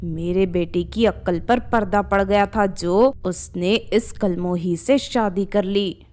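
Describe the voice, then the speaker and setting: Woman voicing a scolding, slow-talking scheming mother-in-law. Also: mid-range voice, a little mellow, pitched below the performer's own voice, close to an everyday voice. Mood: bitter, complaining. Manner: harsh, accusing, commanding.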